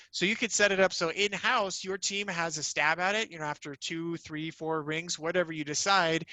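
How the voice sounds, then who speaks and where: A man's voice: fairly high pitch, bright, forceful tone, dry recording. A man giving an informal, upbeat sales presentation as a company representative, conversational and peppered with "you know".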